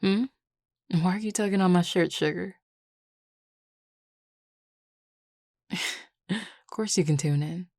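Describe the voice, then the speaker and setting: Flirty Southern US werewolf played by a woman, low-pitched, drawling and affectionate, close dry sound, intimate audio roleplay. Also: measured pace, a little mellow, no echo. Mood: playful, teasing. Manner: drawling, teasing, sighing, casual and affectionate. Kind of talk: intimate audio roleplay, one-sided conversation.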